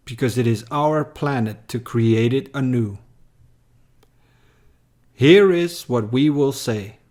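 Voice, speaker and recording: A man reciting a scripted poem in a measured, deliberate way. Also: medium pitch, darkish tone. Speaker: poem reader.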